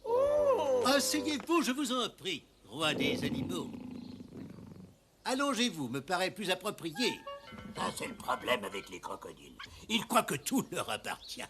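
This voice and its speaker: An actor playing a haughty, sarcastic, quarrelsome jungle animal in a French cartoon dub, speaking theatrically. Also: male voice, sits high, wildly swooping pitch, unhurried, music in the background, full character voice. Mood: mock courtesy, scornful.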